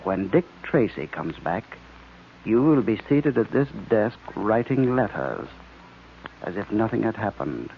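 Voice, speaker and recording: Villain for vintage radio drama: commanding mesmerist, lowish pitch, measured and domineering, dull aged recording. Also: male voice, sing-song, a little hiss or hum. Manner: slow, deliberate, domineering. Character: commanding male villain, a sinister hypnotist mastermind. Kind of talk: old-time radio serial acting.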